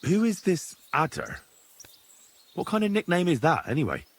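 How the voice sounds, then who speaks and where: A man playing an earnest, curious medieval adventurer in a video game, asking direct questions in a husky voice. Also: a little high, wildly swooping pitch, normal speed, full character voice. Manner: inquisitive, direct, plain-spoken. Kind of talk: dramatic video game dialogue.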